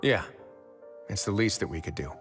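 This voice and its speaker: A male actor playing a calm, imposing duke who runs a prison fortress, speaking in a cool, measured way in a video game. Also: on the low side, soft background music. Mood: calm, considerate.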